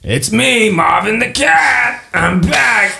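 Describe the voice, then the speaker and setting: A man's voice: sits high, animated, slow, gravelly, sharp tone, reverberant, a little hiss or hum, pitched above the performer's own voice, exaggerated voice. A man voicing a friendly, chummy, human-sized cat in a tabletop game.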